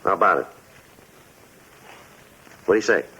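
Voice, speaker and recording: An actor playing a dogged, level-headed police detective in a 1950s radio drama, clipped and matter-of-fact. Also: male voice, low-pitched, expressive, normal speed, sharp tone, husky, heavily acted. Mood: persuasive, calm. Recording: muffled, noisy old 1950s radio recording.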